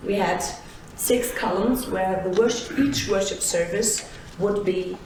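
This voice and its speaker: A woman talking on a seminar panel, halting and restarting her sentences with frequent fillers. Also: mid-range voice. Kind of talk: conversational panel talk. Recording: echoey hall, distant mic, faint noise.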